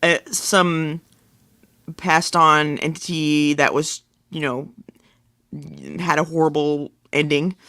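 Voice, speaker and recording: A man with a fairly high, bright voice chats as a podcast co-host. His talk is loose and informal. The recording is dry, without any audible room. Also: little pitch movement, slow, dry recording. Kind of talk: casual chat.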